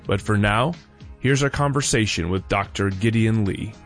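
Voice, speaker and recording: A man's voice: on the low side, moderate pace, loud music behind the voice. A man hosting a radio show, reading a scripted introduction.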